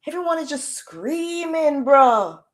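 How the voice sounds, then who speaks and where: A Jamaican woman hosting a casual livestream chat in a loud, projecting voice, firing off rhetorical questions with easygoing slang. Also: sits high, lively intonation, slow. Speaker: livestream host.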